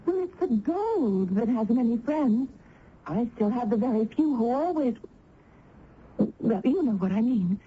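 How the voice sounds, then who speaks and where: High, husky, tender village girl. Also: lively intonation, measured pace. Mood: happy, wistful. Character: tender, troubled young village niece. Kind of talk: radio drama acting. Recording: dull old radio recording.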